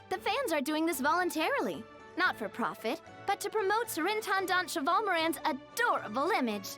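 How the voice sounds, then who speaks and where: Game role: cheerful, refined young lady manager, high animated voice, articulate delivery, music underneath. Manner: polished, articulate, upbeat explaining. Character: poised, cheerful young noblewoman running a theme park. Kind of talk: video game story dialogue acting. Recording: studio voice over game music.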